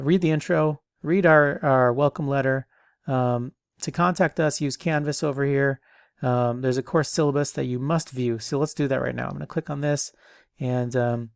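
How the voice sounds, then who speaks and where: A man's voice: mid-range voice, creaky, no echo, studio quality. A man in his thirties giving a casual online lecture as an instructor, rambling with many ums and restarts, a bit stuffy from allergies.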